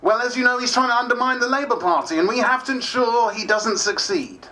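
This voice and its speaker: A man playing a brisk, pushy political spin doctor, insistent and clipped, in dramatised dialogue. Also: extremely high pitch, expressive, sharp tone, exaggerated voice, poor audio. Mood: pressing.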